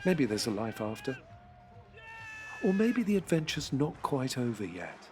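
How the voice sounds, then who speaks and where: A man's voice: medium pitch, big pitch swings, unhurried, a little mellow. A middle-aged man voicing a veteran male racing driver, speaking a terse, clipped inner monologue in an audio drama with music behind him. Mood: triumphant. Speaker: narrator and lead character.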